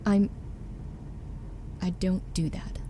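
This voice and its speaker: A woman's voice: fairly low pitch, little pitch movement, takes it slowly, dark tone, slight background noise. A woman playing an exasperated, sardonic young woman in a naturalistic radio drama. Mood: hurt, exasperated. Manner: sarcastic, questioning.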